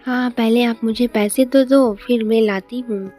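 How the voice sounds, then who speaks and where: A woman's voice: on the high side, fairly even intonation, a little slow, dark tone, no echo, faint music behind, close to an everyday voice. A woman voicing a greedy, nagging village wife in a cartoon moral story, scolding and demanding.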